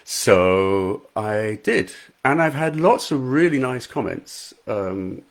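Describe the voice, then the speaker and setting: A man's voice: lowish voice, expressive, takes it slowly. A man with a soothing voice, an author chatting casually on a live stream from a small room at home, reading out viewer comments and poking fun at himself. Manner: chatty, self-deprecating, reads out viewer comments. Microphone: high quality microphone.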